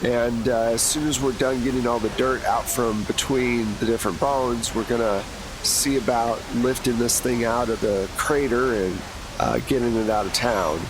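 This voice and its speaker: A man's voice: mid-range voice, steady tone, normal speed, natural voice. A man doing a cautious dig-site boss. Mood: matter-of-fact. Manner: cautious, hesitant. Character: worried male project lead at a dig. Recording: noisy hiss.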